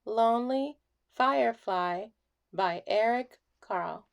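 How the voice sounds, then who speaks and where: A woman reading a children's bedtime story aloud in an expressive, deliberate way with pauses. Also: a little high, gravelly, lo-fi sound. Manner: slow deliberate phrasing with pauses, hushing on refrains.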